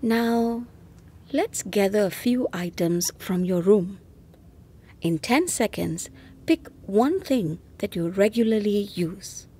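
A woman narrating a scripted guided meditation softly and gently, often close to a whisper, with long unhurried pauses. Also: medium pitch, expressive. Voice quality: soft, breathy, often near whisper.

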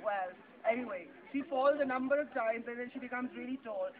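A woman's voice: on the high side, a little fast. A woman storytelling interactively to children, playfully asking questions and prompting them to answer. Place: busy book festival event. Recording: tinny phone recording amid crowd chatter. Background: chattering children and crowd.

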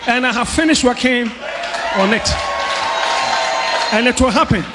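Animated Ghanaian pastor, high voice. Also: male voice, a little slow, over music, crowd noise. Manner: animated, joking, shouting at times. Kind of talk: preaching from a church stage, mixing Twi and English. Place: large indoor church hall. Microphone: handheld microphone.

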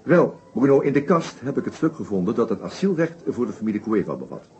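A methodical, shrewd police inspector laying out his case in a radio play. The voice is soft-edged and moves up and down with animation, pausing deliberately over small hesitations. The old recording sounds dull. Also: mid-range voice, big pitch swings, slight background noise. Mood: assured, revealing. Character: shrewd, methodical male detective inspector summing up a murder case. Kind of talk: Dutch radio play acting.

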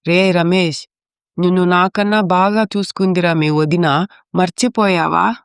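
A caring yet reproachful old matriarch played by a woman in a family story. Her voice is very clear and smooth, recorded dry. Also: on the low side, fairly even intonation, a little slow, dry recording. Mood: chiding. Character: elderly mother-in-law, caring but reproachful.

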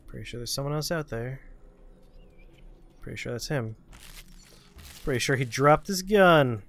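A man's voice: medium pitch, animated, measured pace, fairly bright tone. A man giving casual gameplay commentary as a show host, with a wry manner, muttering short remarks to himself. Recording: game sound effects behind voice. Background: video game effects, shattering sounds.

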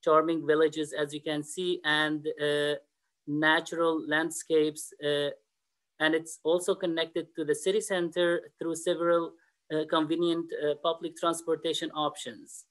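A man whose first language is Arabic, an admissions officer giving a webinar presentation over a computer mic, often pausing on fillers. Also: a little high, level tone, a bit bright. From Middle East and North Africa. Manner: hesitant, frequent uh fillers and restarts.

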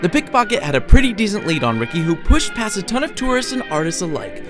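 Higher-pitched man narrating, very lively intonation. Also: quick pace. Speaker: author reading his own short story. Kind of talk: scripted storytelling narration. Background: music under the voice.

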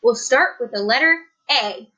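A stern, brisk schoolteacher with a sharp, emphatic way of questioning, as a woman reader puts her on. The voice sits high and bright, with a slightly dull, lo-fi edge to the recording. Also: lively intonation, slightly rough, exaggerated voice.

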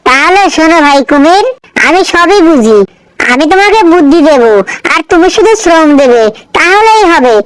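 Sly cartoon fox, super high voice, coaxing fake-friend talk for a kids folk tale. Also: expressive, normal speed, a bit bright, dry recording, rough recording. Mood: coaxing, friendly. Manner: coaxing, wheedling, falsely friendly. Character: cunning smooth-talking fox who cheats his friend.

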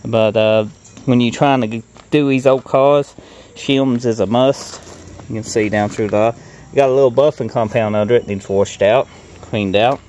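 Middle aged guy filming on his phone outside, normal pitch, folksy casual walkthrough, bit of outdoor noise. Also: fairly even intonation, measured pace, a little mellow. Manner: plain-spoken, folksy nonstandard grammar. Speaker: car restoration vlogger. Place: outdoors in a grassy gravel yard. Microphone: handheld phone at arm's length.

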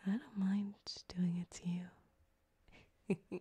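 Woman playing a flirty sadistic surgeon, slow and breathy. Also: medium pitch, warm tone, lo-fi sound, full character voice. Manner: coaxing, teasing, breathy, drifting into whispers. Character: flirty, sadistic yandere cyberpunk back-alley surgeon woman. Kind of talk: ASMR roleplay, intimate one-sided scene. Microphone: close binaural microphone.

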